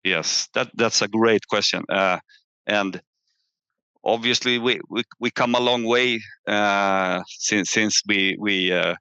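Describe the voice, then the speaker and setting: A man presenting company results as an executive on a call, halting and often repeating words as he searches for phrasing. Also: on the low side, bright, forceful tone, smooth. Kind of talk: earnings call presentation and answers. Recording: muffled, echoey room on online call.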